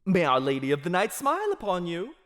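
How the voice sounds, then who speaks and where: A man's voice: sits high, huge pitch swings, a little fast, a bit bright, full character voice. A man playing a showy, courteous and slightly flustered vampire auctioneer in a theatrical audio roleplay. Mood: awkward, courteous. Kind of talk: theatrical ASMR roleplay audio drama acting.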